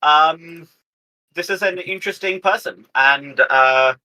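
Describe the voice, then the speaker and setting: A man talking casually as a player in a tabletop game, in a thoughtful, deliberate manner. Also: fairly high pitch, bright, forceful tone, low-quality recording.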